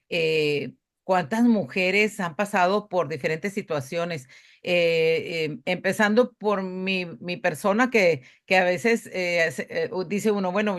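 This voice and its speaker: A woman's voice: lowish voice, unhurried. A woman hosting a podcast interview, speaking with many fillers and restarts. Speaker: podcast host. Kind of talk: casual interview.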